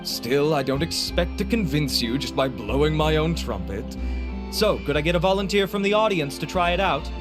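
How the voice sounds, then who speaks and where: An actor playing a handsome, vain, suave inventor who gives a grandiloquent, theatrical sales pitch in a video game. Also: male voice, expressive, normal speed, close to an everyday voice. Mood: confident. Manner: pompous, grandiloquent. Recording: music bed behind voice.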